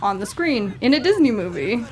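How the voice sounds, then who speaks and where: A woman's voice: on the high side, sing-song, normal speed, darkish tone, faint music behind. A woman co-hosting a podcast, chatting casually about films. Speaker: podcast co-host. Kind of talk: casual film review chat.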